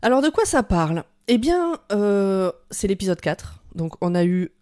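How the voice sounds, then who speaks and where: A woman's voice: medium pitch, sing-song, measured pace, a little mellow. A woman podcast panelist chatting casually, jokey and prone to digressions. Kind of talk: casual chat, episode summary.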